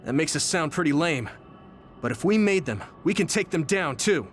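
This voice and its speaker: A man's voice: a little high, expressive, normal speed, a little hiss or hum, close to an everyday voice. A man playing an earnest, determined young hero in an English game dub, with music behind him. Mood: wry, confident.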